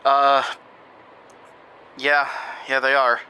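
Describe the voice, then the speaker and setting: An actor playing a weary, uneasy survivor who tells his story in a halting, confessional way for an audio drama. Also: medium pitch, monotone, sharp tone, slight background noise, heavily acted, rough recording. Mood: anxious, urgent. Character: weary, uneasy male survivor recounting a horror ordeal. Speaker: narrator and lead character. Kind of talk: audio drama first-person narration.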